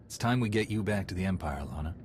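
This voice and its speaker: A man's voice: low voice, lively intonation, quick pace, slight background noise. A man playing a cold, ruthless military commander in a video game. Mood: cool, assured. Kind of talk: dramatic video game acting.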